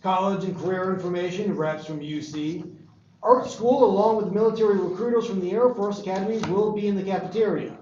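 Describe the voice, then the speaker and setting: A man playing an upbeat, self-satisfied high school principal reading morning announcements at a table read. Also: brisk, darkish tone, slight background noise, lightly acted. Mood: peppy, proud. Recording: dull echoey room.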